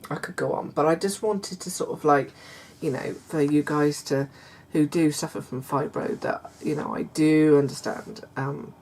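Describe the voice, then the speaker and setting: A middle-aged woman, chatting casually to her viewers in a croaky voice, hesitant with many fillers and restarts. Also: sits low, steady tone, moderate pace, few pauses. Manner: warm confiding tone toward viewers. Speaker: vlogger. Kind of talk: casual chat to camera.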